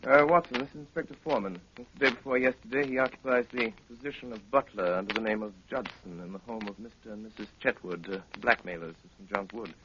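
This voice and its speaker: An actor playing a brilliant, self-assured English detective in an urbane, deliberate, theatrical 1930s radio style. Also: male voice, lowish voice, lightly acted. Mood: measured, intent. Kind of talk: 1930s radio drama acting. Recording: dull, noisy 1930s radio recording.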